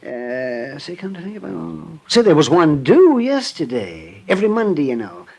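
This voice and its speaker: An actor playing a genial, naive, tipsy old Bowery drifter in an old-time radio drama, rambling and stammering with a childlike chuckle. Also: male voice, on the high side, big pitch swings, moderate pace, creaky, a little mellow, husky, full character voice. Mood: chuckling, eager.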